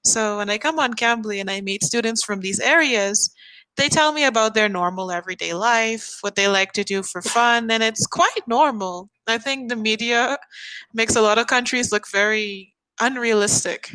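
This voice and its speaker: A woman's voice: a little high, normal speed, sharp tone, smooth, poor audio. A woman from the Bahamas working as an online English tutor, chatting casually and laughing often on a video call.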